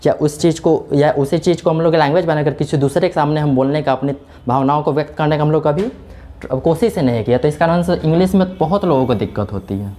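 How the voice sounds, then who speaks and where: A man's voice: fairly high pitch, fast, warm tone. A man teaching a class in speech, explaining step by step and answering his own questions. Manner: explaining step by step, keeps saying "theek hai"; asks questions and answers them himself. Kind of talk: classroom lecture.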